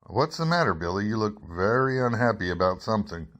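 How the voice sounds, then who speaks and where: A man's voice: on the low side, sing-song, fairly quick, fairly bright tone. A man acting in a radio drama as a wry, skeptical investigative reporter, both speaking in scenes and narrating. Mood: dry. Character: wry, probing male investigative newspaper reporter.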